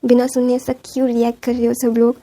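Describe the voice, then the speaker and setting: A woman voicing a young male mafia boss who earnestly pleads to be heard, in a rapid, flat, mellow everyday voice. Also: fairly high pitch, lightly acted. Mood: pleading.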